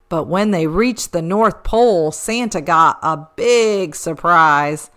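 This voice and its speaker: Bright, unhurried woman reading a storybook. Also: medium pitch. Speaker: children's story reader. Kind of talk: expressive rhyming storybook narration.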